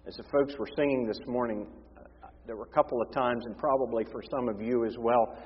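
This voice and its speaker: A hoarse man preaching a sermon as pastor, impassioned, moving between forceful emphasis and hushed tones. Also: mid-range voice, fairly even intonation, a little fast. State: hoarse, losing his voice. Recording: reverberant hall, dull lo-fi sound.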